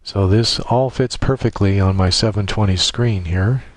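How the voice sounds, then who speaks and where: A man with a low-pitched voice talks through a software setup step by step. His delivery is informal and somewhat halting, like a casual tutorial. The sound is dry, with the microphone close and very little room in it. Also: no echo. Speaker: tutorial presenter.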